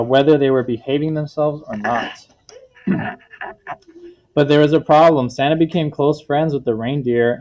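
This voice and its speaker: A man's voice: fairly high pitch, a little mellow, rough recording. A man teacher reading a picture book aloud to children, explaining words simply and checking understanding with questions. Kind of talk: read-aloud storytelling with questions to a class.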